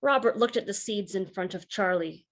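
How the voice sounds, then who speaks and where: A woman reading aloud a story for children in a deliberate, expressive teacherly way. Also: mid-range voice.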